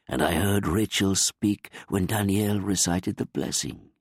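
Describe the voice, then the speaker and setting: A gentle, grieving old grandfather, as a man reads him, speaking slowly and softly in a very deep voice. He sounds fatherly and reassuring in this storybook reading. The sound is dry and close. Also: husky, dry recording, full character voice.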